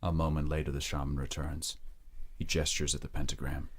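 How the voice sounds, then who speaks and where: Very deep, husky male narrator. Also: level tone, a little fast. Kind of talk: dramatic scripted narration in present tense.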